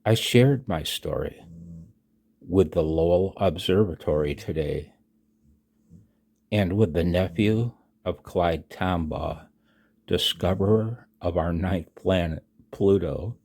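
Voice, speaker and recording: Older American male, 60s, deep and unhurried, reading a personal statement for a hobby vlog with emphatic, dramatic delivery. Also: vocal fry, a little mellow. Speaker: amateur radio vlogger. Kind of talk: reading own written announcement aloud with asides.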